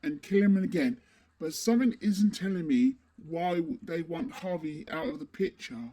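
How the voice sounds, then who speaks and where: A woman playing a stern, determined masked vigilante in a superhero audio drama, with a low, husky voice and terse, serious manner. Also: big pitch swings, normal speed, mellow.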